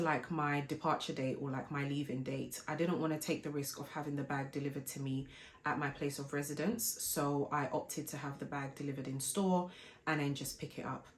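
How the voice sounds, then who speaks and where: A woman, hoarse from a flu, chatting politely as a vlogger telling a shopping story before an unboxing. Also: low voice. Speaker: fashion and lifestyle vlogger. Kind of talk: casual unboxing vlog chat.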